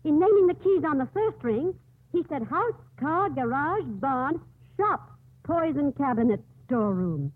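An actress playing an earnest, conscience-stricken nun in a 1950s radio drama, grave and intense in manner. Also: female voice, sits high, animated, unhurried, no room sound. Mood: urgent, intent. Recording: dry, phone-like 1950s radio recording.

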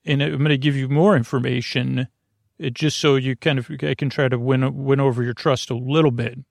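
Creaky-voiced man rambling in a dry, close recording. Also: medium pitch, flat delivery, no echo. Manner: meandering, halting, loses his thread and circles back. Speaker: host of a sleep podcast. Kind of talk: rambling bedtime monologue to the listener.

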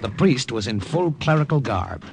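An actor playing an earnest young American army sergeant who also narrates, in a 1940s radio drama. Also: male voice, medium pitch, sing-song, normal speed, vocal fry, noisy background. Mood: narrating.

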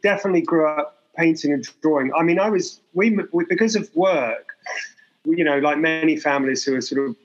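A man's voice: a little high, fairly quick, muffled recording. A man from England in his forties, a guest artist talking candidly in an interview, rambling and self-deprecating with many restarts.

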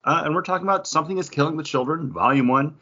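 A man's voice: on the high side, racing, sharp tone, breathy edge, highs cut off. A man co-hosting a podcast, chatting casually in a comic book review. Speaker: podcast co-host.

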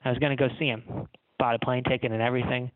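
A man's voice: medium pitch, level tone, fast, no echo, narrow, tinny audio, close to an everyday voice. A man voicing a tiny, drunk pianist, wounded and self-pitying, given to emotional outbursts, in a comic story. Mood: confiding, bitter.

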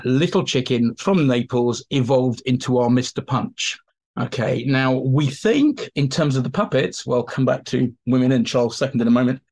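A man with a medium-pitched voice talks as an expert guest in a history interview. He explains conversationally, telling the past as informal anecdote.

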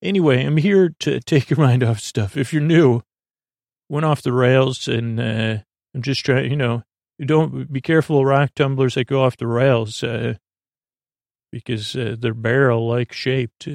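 A man hosting a sleep podcast, rambling in a halting, meandering, improvised monologue with a gravelly creak. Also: medium pitch, a little mellow, no echo, clean studio sound. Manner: meandering, halting, repeats and trails off. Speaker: podcast host.

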